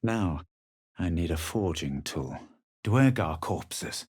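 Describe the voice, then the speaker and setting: A man playing a self-assured, haughty adventurer in a fantasy video game, speaking in a measured, theatrical, superior way. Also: low voice, expressive, a little mellow, slightly rough, dry recording, clean studio sound, heavily acted. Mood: self-assured, dry. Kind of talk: fantasy game dialogue and narration.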